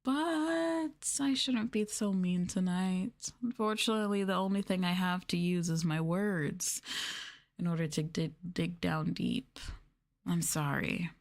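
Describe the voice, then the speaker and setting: Woman, lowish voice, very slow pace, sultry, condescending, teasing, ASMR roleplay livestream. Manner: teasing, condescending, mock-sadistic dominance.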